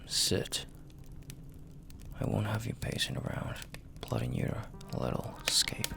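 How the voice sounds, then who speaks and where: A man playing a dominant, flirty and possessive mafia boss in an intimate audio roleplay, speaking in a gravelly, breathy half-whisper with a commanding, teasing manner. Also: on the low side, sing-song, full character voice. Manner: slow, commanding, teasing, with drawn-out pauses.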